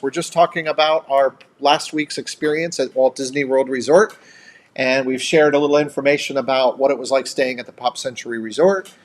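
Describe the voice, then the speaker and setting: An American man co-hosting a casual live chat in a chatty, upbeat, playful manner. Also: on the high side. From upstate New York, United States. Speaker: host of a couple's travel live chat.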